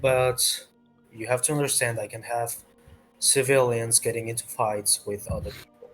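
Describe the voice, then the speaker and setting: A man voicing a weary, stern but patient guard commander in a tabletop game, halting and formal. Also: mid-range voice, fairly even intonation, a bit bright, lightly acted. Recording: music playing behind.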